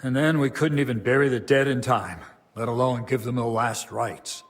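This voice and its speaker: An actor playing a stern, burdened abbot of a medieval monastery, grave and formal, in a dramatic game cutscene. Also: male voice, medium pitch, steady tone, moderate pace, close to an everyday voice. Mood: somber.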